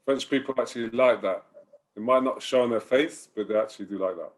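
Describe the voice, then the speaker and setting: A university staff member, a man, chatting casually on a video call in a relaxed, rambling way that trails off softly. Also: mid-range voice, fast. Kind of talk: casual panel chat.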